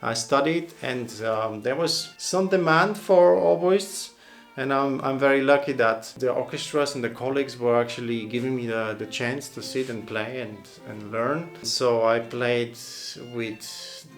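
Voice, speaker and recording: Male musician host, casual Q&A, reflective and meandering, middle pitch, soft music bed. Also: a little slow. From Bulgaria. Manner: thoughtful, rambling, many restarts and fillers. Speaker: oboist and channel host. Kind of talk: casual Q&A answering viewer questions.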